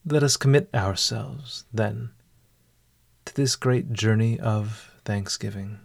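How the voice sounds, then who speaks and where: A man narrating a guided meditation in a formal, measured, literary manner. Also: on the low side, creaky, dry recording, clean studio sound.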